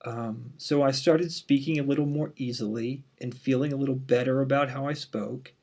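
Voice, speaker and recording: A man in his thirties with a mild stutter, introducing himself to his online class as the instructor in a relaxed, candid way with frequent "um"s. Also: mid-range voice, moderate pace, vocal fry. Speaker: university instructor. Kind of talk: casual course introduction.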